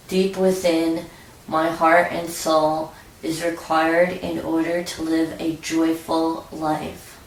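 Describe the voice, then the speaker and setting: Woman doing guided meditation, really slow n measured, kinda low voice, echoey and far from the mic. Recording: roomy echo, distant from mic.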